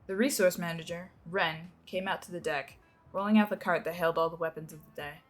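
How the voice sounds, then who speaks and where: A young woman narrating a dramatic reading of a fan-fiction story. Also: on the low side. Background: music.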